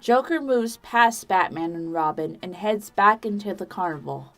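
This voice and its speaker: A woman's voice: mid-range voice, animated, moderate pace, a bit bright. A woman reading scripted third-person narration for an audio drama. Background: background music.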